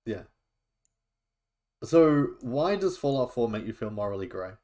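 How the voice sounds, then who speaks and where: A man's voice: medium pitch, sing-song, unhurried, darkish tone. A young man hosting a casual podcast, chatty, laughing often and tripping over his words. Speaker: podcast host. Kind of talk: casual chat.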